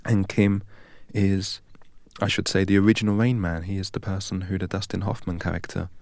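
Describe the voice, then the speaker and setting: A man from England, a guest in an interview, telling his life story in a measured, precise and earnest way. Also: low-pitched, flat delivery, darkish tone, dry recording. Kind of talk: reflective personal storytelling.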